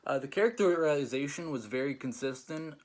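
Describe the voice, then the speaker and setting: A man's voice: medium pitch, expressive, brisk, darkish tone. A man hosting and judging a challenge, chatting casually in a rambling, hesitant way with lots of "like". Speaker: host and judge of a challenge show. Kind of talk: casual chat, reviewing stories.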